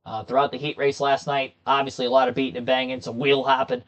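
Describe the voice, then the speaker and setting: A young man with a slightly hoarse voice, chatting casually with viewers as he hosts a racing commentary livestream from a desk in a small room. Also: medium pitch, little pitch movement, quick pace. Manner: chatty, talks straight to his viewers.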